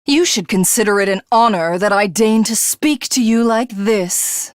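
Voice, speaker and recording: A woman's voice: mid-range voice, a little slow, no room sound, studio quality, natural voice. An actress playing an arrogant, power-hungry alien warrior woman in a video game, with a haughty, grandiose and theatrical delivery. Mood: haughty, menacing. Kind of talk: video game character voice acting.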